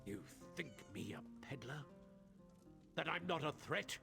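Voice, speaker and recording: A scheming silk merchant from a fantasy video game. He is haughty and spiteful, wheedling with theatrical flair. His pitch swings widely, and faint music plays underneath. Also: expressive, a little slow, gravelly. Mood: sneering, bitter. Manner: theatrical, pleading turning to sneering contempt. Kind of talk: dramatic video game voice acting.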